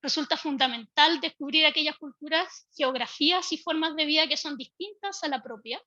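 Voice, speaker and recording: A woman giving a measured, explanatory talk as a speaker from a public early-education institution. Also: high-pitched, sharp tone, dry recording, lo-fi sound. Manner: measured, explanatory, occasional restarts. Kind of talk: online panel talk.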